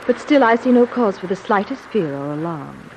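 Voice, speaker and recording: An actress playing a calm, guarded, fearless woman passenger in a composed, formal 1930s radio serial style. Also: mid-range voice, normal speed, lightly acted. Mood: calm, dismissive. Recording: noisy band-limited old radio recording.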